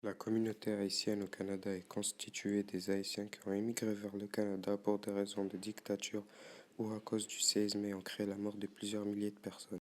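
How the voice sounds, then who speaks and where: A man reading scripted documentary narration aloud in an even, read-out delivery. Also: fairly low pitch, fairly quick.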